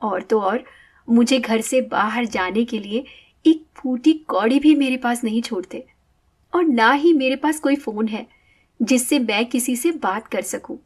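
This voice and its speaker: A woman playing a weak, mistreated elder sister in a moral story, talking in a soft, halting, sorrowful voice. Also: a little high, breathy edge. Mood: weary, sorrowful.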